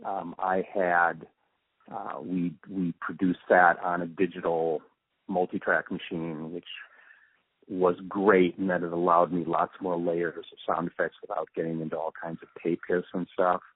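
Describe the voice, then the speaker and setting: A middle-aged or older man gives reflective interview answers in a deep voice with a husky grain. He explains carefully, with halting pauses as he thinks. The sound is narrow and phone-like. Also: level tone, dry recording. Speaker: interview guest, radio drama post-production supervisor.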